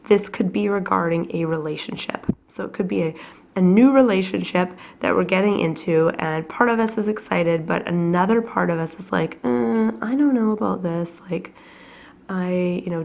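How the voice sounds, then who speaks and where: A woman with a cold reads tarot at home, her husky voice sitting low and gravelly. She talks casually and reflectively, with plenty of ums along the way. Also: a little mellow. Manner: chatty and reflective, with many fillers. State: has a cold, coughing. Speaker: tarot reader. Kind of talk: casual weekly tarot reading to camera.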